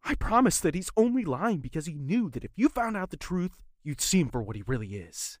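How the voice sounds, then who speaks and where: A woman playing an earnest, wounded young man pleading with a former love in an audio drama, husky and intense. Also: on the low side, big pitch swings, a little fast, no echo, studio quality. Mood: urgent, warning.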